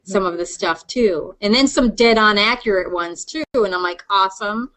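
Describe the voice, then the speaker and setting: Casual, enthusiastic woman interviewer, mid-pitched. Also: moderate pace, a bit bright, poor audio. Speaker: podcast interviewer. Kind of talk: casual chat.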